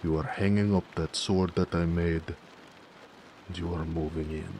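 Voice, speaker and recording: A man playing a devoted orc blacksmith in a romantic audio roleplay, deep and gravelly, tender yet possessive and stubborn, speaking slowly and earnestly. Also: steady tone, darkish tone, a little hiss or hum. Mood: stern, pleading.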